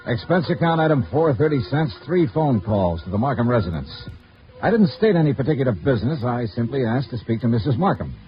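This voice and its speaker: An actor playing a dry, probing insurance investigator in a 1950s radio detective drama, clipped and matter-of-fact. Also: male voice, medium pitch, sing-song, a little fast, soft background music, natural voice. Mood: dry narration. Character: dry, probing male insurance investigator narrating his case. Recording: dull, noisy old radio recording.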